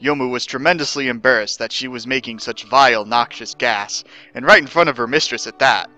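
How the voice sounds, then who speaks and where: A man's voice: medium pitch, a little fast, bright, forceful tone, soft background music. A man giving a mock-serious dramatic reading of comic fan fiction as its narrator.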